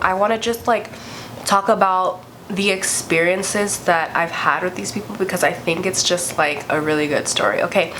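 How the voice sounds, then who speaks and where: A woman's voice: medium pitch, a bit bright, a little hiss or hum, poor audio. A young woman vlogger with a congested, stuffy voice, chatting candidly and swearing freely as she tells a story. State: congested from a cold. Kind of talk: casual storytime vlog.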